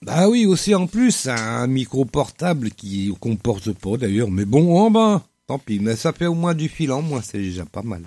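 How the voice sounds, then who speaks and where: A man with a cold and a slightly hoarse voice, hosting a radio quiz and reading Bible passages aloud with joking asides. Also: medium pitch, big pitch swings, moderate pace, few pauses, warm tone, no room sound. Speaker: radio host. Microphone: studio microphone.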